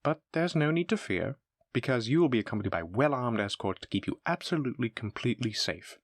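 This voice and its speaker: Man playing a lively, courteous demon hotel receptionist. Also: medium pitch, quick pace, dry recording, studio quality. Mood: cheerful, informative. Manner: polite, formal, effusive hospitality patter. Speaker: hotel front desk clerk. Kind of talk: scripted roleplay audio addressed to the listener.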